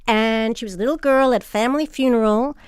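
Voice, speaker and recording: A woman's voice: a little high, fairly quick, clean tone, no echo. A woman hosting a theatre podcast, interviewing guests in a studio discussion. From Transylvania family background.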